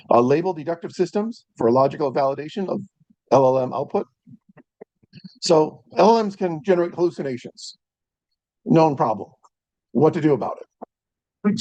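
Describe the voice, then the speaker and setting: A man hosting a tech meetup, announcing upcoming events and introducing the evening's speaker in a relaxed, chatty way with frequent ums, speaking into a handheld microphone in a meeting room. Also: on the high side, no echo, lo-fi sound. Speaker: meetup host.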